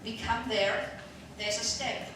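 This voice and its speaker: A woman's voice: on the high side, steady tone, takes it slowly. A woman on a discussion panel giving an informal, anecdotal account in hesitant, piecemeal phrases. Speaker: panel speaker. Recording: very echoey, distant mic, noisy room.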